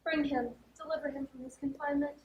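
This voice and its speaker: A woman's voice: high-pitched, steady tone, quick pace, close to an everyday voice. A woman playing an earnest, well-spoken noblewoman in a theatrical stage comedy. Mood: composed. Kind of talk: theatrical stage acting of classic verse comedy. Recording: echoey stage, distant mic, faint noise.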